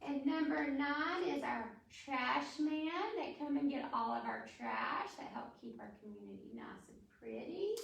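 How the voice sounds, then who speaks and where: Echoey, high-pitched American woman leading children's storytime. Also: vocal fry. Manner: simple, encouraging, sing-song teaching for young children. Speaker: children's library storytime host. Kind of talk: children's storytime lesson, counting aloud and prompting. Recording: very echoey room, distant microphone.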